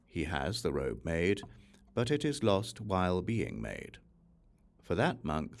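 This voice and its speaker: A man reading scripture aloud as an audiobook narrator, in a measured, formal manner. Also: low-pitched, creaky, a little mellow. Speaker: audiobook reader.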